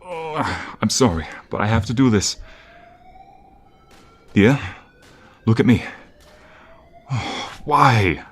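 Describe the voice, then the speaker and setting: Man acting as protective male mobster, tender and desperate, low voice, unhurried, intimate ASMR roleplay. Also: very animated, slightly rough, exaggerated voice. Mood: anxious, tender. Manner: pleading, emotional, speaks intimately to the listener.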